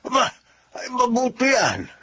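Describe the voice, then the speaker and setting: A man's voice: extremely high pitch, huge pitch swings, unhurried, a bit bright, exaggerated voice. A man playing a kindly, cheerful elderly Filipino grandfather in a radio drama, encouraging and hearty in manner. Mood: surprised, glad.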